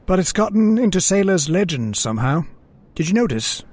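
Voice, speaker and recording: A man's voice: high-pitched, very animated, normal speed, a little mellow. A man playing a genial, philosophical hunting companion with a superstitious unease, in old-time radio drama style. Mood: uneasy.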